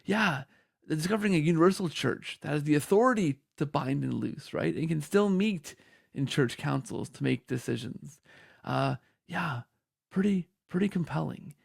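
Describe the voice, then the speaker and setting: A man's voice: fairly high pitch, lively intonation, moderate pace, vocal fry, breathy edge, no echo, studio quality. A man hosting a conversational interview podcast, speaking effusively with choppy restarts and repeated phrases. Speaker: podcast host.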